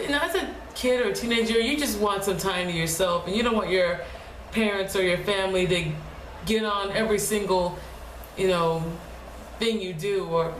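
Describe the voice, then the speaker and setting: A young woman speaking quietly in a low, deep voice, talking haltingly and restarting her words often in a casual personal vlog at home. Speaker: vlogger. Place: home room. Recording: echoey room with faint noise.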